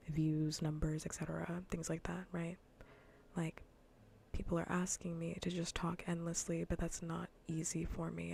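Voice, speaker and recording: A woman livestreamer chatting casually in a soft, breathy near-whisper, rambling bluntly and sardonically with plenty of swearing in an ASMR style. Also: fairly low pitch, a little hiss or hum. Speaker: livestreamer hosting an ASMR gaming stream.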